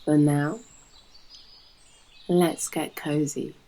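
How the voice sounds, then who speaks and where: A British woman guiding a yoga nidra meditation in a soft, breathy, soothing manner with long pauses. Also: deep voice, steady tone. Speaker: yoga nidra guide and host. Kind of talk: guided meditation narration.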